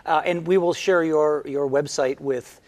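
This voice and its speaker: A man with a Midwestern Michigan accent hosts the show. His voice sits a little higher than most men's, and he explains English sounds in an upbeat, careful way. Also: steady tone, a little slow, darkish tone. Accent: Michigan Midwestern American. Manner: upbeat, clear and instructive. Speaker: TV host. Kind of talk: talk-show interview and English lesson.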